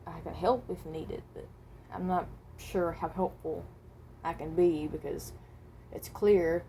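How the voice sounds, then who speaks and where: Woman acting as a resigned animatronic rabbit, slightly low voice, hesitant and halting, fan roleplay monologue, faintly noisy home recording. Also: darkish tone. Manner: hesitant, halting, self-correcting.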